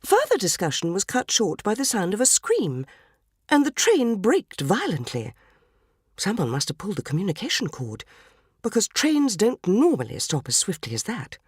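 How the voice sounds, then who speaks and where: A woman's voice: mid-range voice, wildly swooping pitch, normal speed, breathy edge, no echo, studio quality. A woman reading a dramatic story as a prim, tearful, naive English housewife from the 1940s, genteel, wistful and earnest, with an English accent. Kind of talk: dramatic first-person short story reading.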